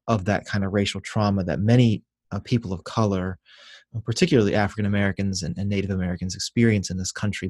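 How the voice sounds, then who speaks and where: A man who grew up in Venezuela and Ecuador, a pastor and author speaking as a guest in a podcast interview in an earnest, measured, reflective way. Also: fairly low pitch, breathy edge, no echo, studio quality.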